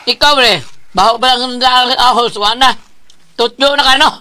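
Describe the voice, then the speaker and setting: A man's voice: extremely high pitch, steady tone, normal speed, background noise. A man playing a cocky drinking buddy in a comedy drama, boastful and repetitive, with a Cebuano accent. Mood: teasing.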